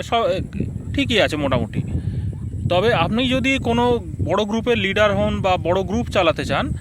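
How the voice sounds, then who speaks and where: A man's voice: sits high, noisy background. A man giving a casual, explanatory product review, speaking conversationally to his viewers.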